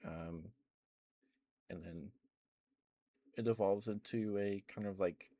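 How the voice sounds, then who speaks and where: Male ASMR streamer, low and unhurried, soft-spoken rambler who gushes now and then. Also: fairly even intonation, dry recording. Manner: meandering, many ums and restarts; enthusiastic gushing at times.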